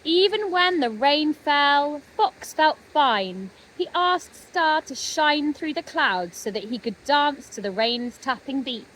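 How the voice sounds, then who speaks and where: A woman narrating a children's story expressively, with gentle dramatic pauses. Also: sits high, expressive, a little hiss or hum, low-quality recording. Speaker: storybook reader.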